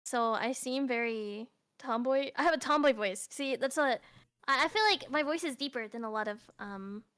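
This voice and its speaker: A woman's voice: high-pitched, moderate pace, a bit bright, clean tone. A woman guest in a casual chat, laughing often and rambling in slangy speech. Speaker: guest streamer.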